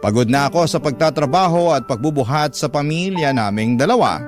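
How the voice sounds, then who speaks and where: A man's voice: on the high side, expressive, fairly quick. A man reading a scripted first-person story as a radio drama host and narrator. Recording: music bed behind voice.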